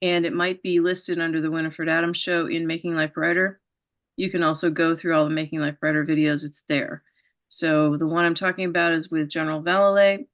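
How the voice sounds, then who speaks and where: A woman's voice: low voice, little pitch movement, brisk, dull audio. A woman hosting an interview show, giving an earnest, rambling setup with many fillers before her questions. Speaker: show host.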